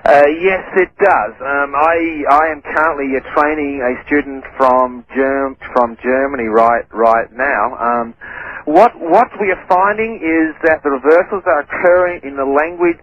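A man who stutters, explaining his research as a radio interview guest in halting speech full of restarts. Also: on the high side. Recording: muffled radio broadcast.

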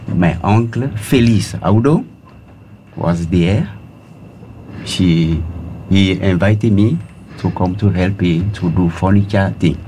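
A middle-aged man from Togo with a French West African accent, telling his story as a guest in halting, repeated, exclamatory phrases. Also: low voice, dark tone, a little hiss or hum. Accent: Togolese French. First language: French or a Togolese language. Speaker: interview guest. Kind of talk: spontaneous storytelling in an interview.

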